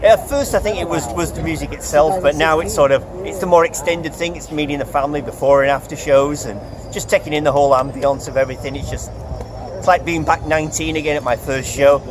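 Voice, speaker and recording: A man's voice: on the high side, fairly even intonation, fast talker, noisy background. A man in his forties, a longtime fan, reflecting in detail on years of concerts outdoors. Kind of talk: casual interview answers. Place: outdoors at a concert pre-party.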